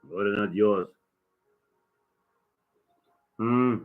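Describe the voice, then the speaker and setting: A man's voice: lowish voice, takes it slowly, faint music behind. Man praying in short repetitive bursts, phone-like sound. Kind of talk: fervent extemporaneous group prayer. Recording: thin, narrow phone-like sound.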